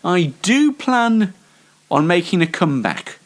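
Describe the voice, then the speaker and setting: A man's voice: high-pitched, very animated, unhurried, background noise. A man hosting a casual comedy show, rambling in a self-deprecating, mock-formal way. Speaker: show host.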